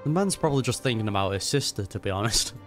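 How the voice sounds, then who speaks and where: A man's voice: lowish voice, lively intonation, fast, faint music behind. A young man giving casual, laid-back reaction commentary with slangy asides.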